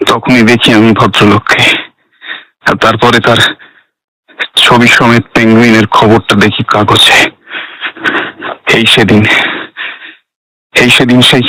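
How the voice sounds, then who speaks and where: A man's voice: medium pitch, sharp tone, no echo, rough recording. A man acting an embittered, defiant suspect in a radio drama, with a dramatic, emphatic delivery. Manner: dramatic, emphatic, halting repetitions. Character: embittered, defiant male suspect, grieving his father.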